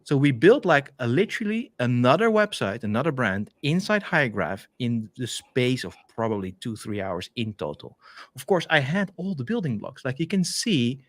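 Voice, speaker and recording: A Dutch-accented man co-hosting a live tech stream, talking through his demo in a loose, chatty way with lots of "um"s and restarts. His pitch rises and falls a great deal as he explains things. The sound is dry and close, as if heard on a video call. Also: normal speed, no room sound. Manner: chatty, animated, many fillers and restarts. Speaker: developer advocate co-hosting a stream. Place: home office, video call.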